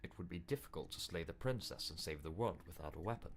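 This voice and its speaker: Man playing a stern, deep-voiced game narrator. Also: expressive. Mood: insistent. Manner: measured, authoritative, exasperated when challenged. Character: stern, controlling male storybook narrator, impatient and insistent. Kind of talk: scripted second-person game narration.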